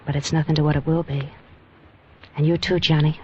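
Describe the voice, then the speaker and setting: A woman's voice: deep voice, little pitch movement, a little fast, lightly acted. An actress playing a cold, seductive female crime boss in a vintage radio noir drama, cool and imperious. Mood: cool, scheming. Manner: cool, imperious, clipped orders. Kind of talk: 1940s radio noir acting, with first-person narration. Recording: dull old radio recording, noisy.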